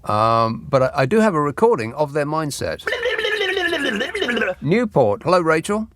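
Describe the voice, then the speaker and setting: A man hosting a late-night radio phone-in, reading listener texts with dry, deadpan sarcasm. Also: fairly high pitch, a little slow, background noise. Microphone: studio microphone.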